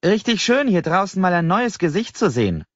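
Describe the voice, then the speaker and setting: A man voicing a chirpy, motherly middle-aged woman clerk in an audiobook, folksy and brisk, fond of pet names. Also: high voice, very animated, dry recording, lo-fi sound, voice pushed up. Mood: cheery welcome. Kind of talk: acted audiobook dialogue.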